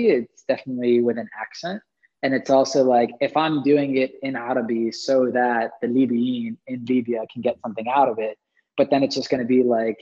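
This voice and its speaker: A husky-voiced man speaks as a musician guest in loose, rambling conversation. His recording sounds a little dull and lo-fi. He often fills his talk with "like". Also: medium pitch. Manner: relaxed, rambling, heavy use of "like". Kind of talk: casual conversation.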